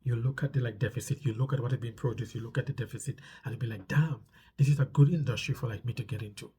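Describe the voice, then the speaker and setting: A man speaks in a strongly husky, congested voice with a dark, relaxed tone. He explains things casually to his viewers as a vlog host. He often repeats short phrases to stress a point. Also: mid-range voice, quick pace. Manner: conversational, repeats phrases for emphasis. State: stuffy nose from a cold.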